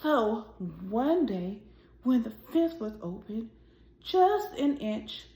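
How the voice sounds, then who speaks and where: A woman hosting a children's read-aloud, speaking deliberately and directly to young listeners as she reads a storybook. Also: on the high side, very animated, mellow. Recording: roomy, echoing sound.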